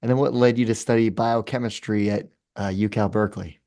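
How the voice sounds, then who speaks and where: Podcast host, man, lower pitch, easy conversational questions. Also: steady tone, brisk, darkish tone. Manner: conversational, curious. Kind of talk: interview questions.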